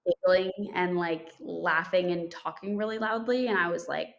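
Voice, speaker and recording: A young woman co-hosts a casual podcast and tells a story in a chatty, rambling way, full of "like" and restarts. Her voice sits a little low. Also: normal speed, creaky. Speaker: podcast co-host. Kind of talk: casual chat.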